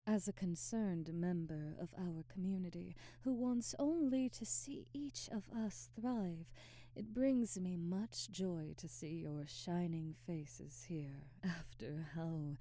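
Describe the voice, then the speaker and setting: A woman's voice: on the low side, takes it slowly, mellow, dry recording. A woman playing a haughty, aristocratic vampire hostess in an ASMR audio drama, speaking in a formal, theatrical and commanding way. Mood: formal, poised.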